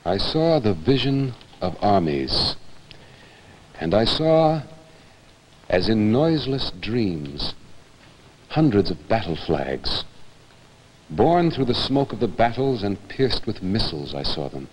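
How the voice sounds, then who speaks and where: A man's voice: lowish voice, very animated, takes it slowly, pauses often, low-quality recording. A man giving a solemn, declamatory dramatic recitation of poetry. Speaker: actor, reader.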